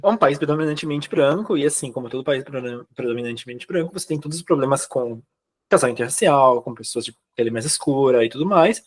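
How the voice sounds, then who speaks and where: A young Brazilian man speaking Brazilian Portuguese, recounting his experiences in a relaxed, chatty way with many fillers and quoted dialogue. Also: medium pitch, quick pace. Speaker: guest. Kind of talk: casual interview chat.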